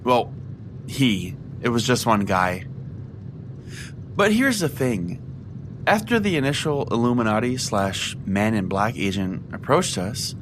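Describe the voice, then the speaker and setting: A man's voice: medium pitch, noisy background. A man playing a self-important, foul-mouthed conspiracy pastor, rambling earnestly as if hosting his own comedy podcast. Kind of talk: mock podcast monologue, comedic storytelling.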